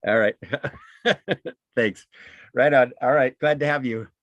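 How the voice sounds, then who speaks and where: A man's voice: mid-range voice, slow pace, fairly bright tone, no echo, highs cut off. A man hosting a panel on a video call, chatty and joking, laughing often between his words. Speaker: panel host. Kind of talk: casual video call chat.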